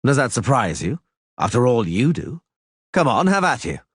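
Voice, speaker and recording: A man voicing a proud, tense sorcerer who speaks in sharp, agitated declarations. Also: mid-range voice, sing-song, normal speed, breathy edge, dry recording, studio quality, voice pushed up. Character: male sorcerer, tense and proud, itching to duel. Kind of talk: audiobook character voice.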